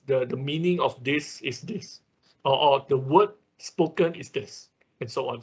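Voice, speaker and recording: Husky male instructor with non-native English accent. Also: on the high side, a bit bright. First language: not English. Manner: explanatory, with frequent check words like "okay" and "right". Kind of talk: informal online technical lecture. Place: online classroom session. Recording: dull, lo-fi online class audio.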